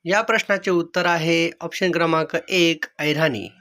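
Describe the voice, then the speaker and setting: A man speaks in a notably high, bright and crisp-edged voice. He works as a quiz teacher, reading questions, options and answers aloud. His delivery is measured and follows a set formula. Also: fairly even intonation. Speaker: exam-prep quiz teacher.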